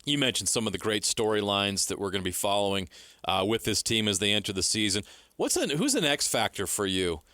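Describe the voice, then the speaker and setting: Lowish-voiced male co-host, dry sound. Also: very animated, brisk, pushed and bright, no room sound. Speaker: sports radio co-host. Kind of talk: casual sports talk. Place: dry radio studio.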